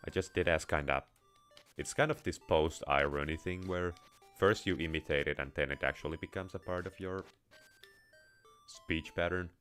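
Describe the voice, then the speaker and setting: A man with an extremely deep voice and a Finnish accent blended with American and a hint of Russian talks over a game. He rambles casually and wryly, swearing now and then. Faint music runs in the background. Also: moderate pace, fairly bright tone, smooth. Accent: Finnish, with American and slight Russian touches. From Finland. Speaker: let's play streamer. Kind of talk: casual gameplay commentary.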